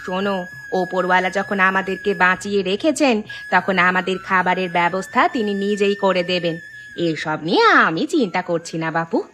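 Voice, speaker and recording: A woman's voice: lowish voice, brisk, a bit bright, soft background music, close to an everyday voice. A woman voicing a patient, reassuring village wife and mother in a cartoon, consoling and homely. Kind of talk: Bengali cartoon dialogue dubbing.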